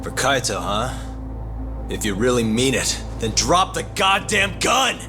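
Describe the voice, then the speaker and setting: An actor playing a tough, determined private detective with a direct, interrogating manner in a game dub. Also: male voice, mid-range voice, very animated, unhurried, music in the background. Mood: insistent. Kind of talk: English video game dub, dramatic acting.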